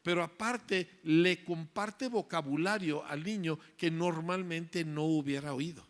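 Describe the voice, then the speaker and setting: A man's voice: on the high side, a little slow. A man giving an emphatic lecture to parents and teachers, driving points home with repeated phrases and tag questions. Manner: emphatic, repetitive rhetorical lecturing with frequent "¿verdad?".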